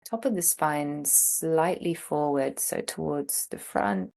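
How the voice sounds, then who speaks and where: A woman teaching a guided meditation, speaking gently in short phrases with long pauses between them. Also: deep voice, creaky. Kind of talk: guided meditation, slow instruction.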